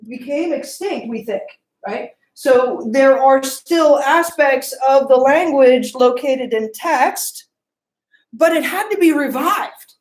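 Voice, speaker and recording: Woman teaching a class, slightly high voice, keeps asking "right? okay?", roomy echo. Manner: asks the class questions, checks in with "okay?" and "right?". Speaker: lecturer. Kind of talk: classroom lecture.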